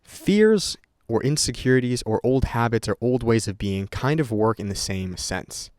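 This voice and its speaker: A man's voice: medium pitch, expressive, moderate pace, a little mellow, no echo, clean studio sound. A young man talking unscripted to his camera, rambling and swearing freely with many restarts. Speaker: content creator vlogger. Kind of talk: unscripted casual talk to camera.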